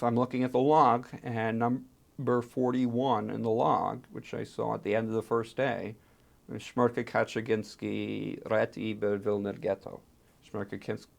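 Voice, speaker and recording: Guy with a lowish creaky voice telling his own story in an interview, pauses for drama. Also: darkish tone. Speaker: interviewee, archive fellow and translator. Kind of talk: oral history interview, personal storytelling.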